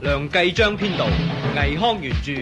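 A man's voice: fairly high pitch, animated, moderate pace. A man announcing a radio drama's title and credits in a scripted style. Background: loud music.

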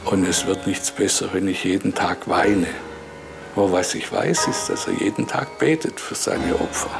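A man's voice: low voice, normal speed, creaky, music in the background. A man giving reflective interview remarks about someone he has known. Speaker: interviewed acquaintance of a prisoner.

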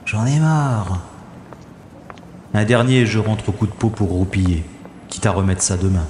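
An actor playing a wry, world-weary special agent in a science-fiction audio drama, with deliberate, slightly pompous phrasing. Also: male voice, lowish voice, darkish tone, a little hiss or hum, natural voice. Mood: weary, musing.

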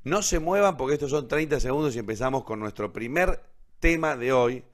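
Male host, Argentine accent, casual live show delivery, halting and self-correcting, wanders as he talks. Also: mid-range voice, lively intonation. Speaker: host of an online radio theatre show.

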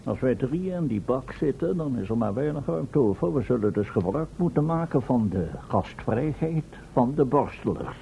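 Commanding inventor professor, brisk lecturing manner, mellow timbre, old radio play with muffled sound. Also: male voice, expressive, gravelly. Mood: assured, explaining. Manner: bossy, impatient, lecturing. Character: brisk, commanding older male professor, eager inventor of time machine. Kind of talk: vintage Dutch radio play acting. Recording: dull, noisy old radio recording with rumble.

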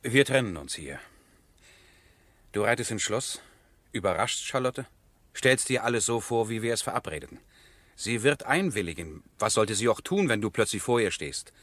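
An actor playing a passionate, headstrong nobleman in love, husky and theatrical, in a 1970s German radio drama. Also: lowish voice, sing-song, moderate pace. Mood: feverishly hopeful. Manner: impassioned, theatrical, swinging from hushed to ringing.

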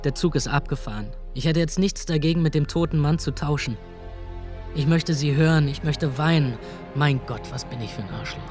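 A man narrating in the first person in a radio drama, rough and weary, with coarse language. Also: a little high, moderate pace, a little mellow, soft background music. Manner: rough, weary inner monologue with coarse words. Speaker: narrator and lead character.

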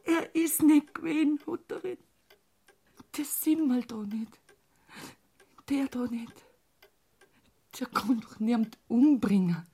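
An actress playing a distraught, defensive farmer's wife with a Bavarian accent, halting and breathy, in a German radio drama. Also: female voice, sits high, warm tone, full character voice. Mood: defensive, fearful. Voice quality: husky, breathy. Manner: halting, fretful, pleading.

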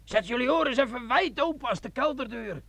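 An actor playing a boastful, jovial sergeant in a radio drama, boisterous and coaxing, with drawn-out theatrical delivery. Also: male voice, extremely high pitch, expressive, vocal fry, bright, forceful tone, no echo, heavily acted. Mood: boastful, triumphant.

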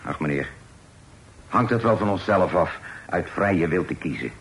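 Cunning pirate, low voice, relaxed and sly, old radio play. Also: male voice, moderate pace. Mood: musing. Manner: calm, sly, full of sailor's sayings. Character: smooth-talking male pirate, bold and sly.

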